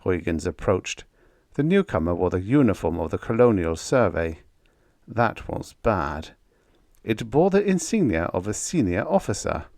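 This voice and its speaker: A man's voice: low-pitched, very animated, normal speed, a little mellow, dry recording. A man reading scripted story narration as an audiobook narrator.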